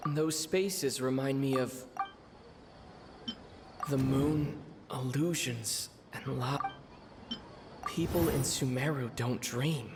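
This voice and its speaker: A man playing a thoughtful young traveler in game dialogue, reasoning in a deliberate way with pauses. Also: mid-range voice, fairly even intonation, lightly acted. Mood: pondering. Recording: long echo, heavy ambient noise.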